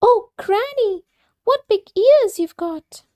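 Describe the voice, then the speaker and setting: A woman voicing a sweet, eager little girl in a storybook reading, with high, breathless cries. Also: expressive, takes it slowly, a little mellow, exaggerated voice.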